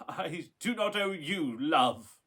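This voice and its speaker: A man's voice: fairly high pitch, expressive, slow, fairly bright tone, slightly rough, heavily acted. A man playing a bitter, wrathful young scientist in a radio play, with intense, melodramatic delivery. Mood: defiant. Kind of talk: theatrical radio play acting. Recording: roomy echo.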